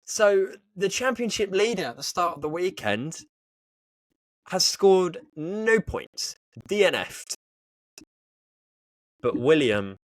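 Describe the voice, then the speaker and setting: A man's voice: medium pitch, no room sound. A man co-hosting a sports podcast in a casual, chatty style. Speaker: podcast co-host.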